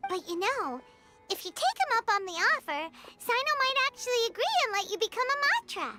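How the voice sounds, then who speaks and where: A woman voicing a tiny, excitable, naive fairy girl in a video game, squeaky and childlike, full of exclamations. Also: brisk, exaggerated voice. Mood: surprised, eager. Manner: exclamatory, speaks of herself in third person. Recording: voice under loud game music.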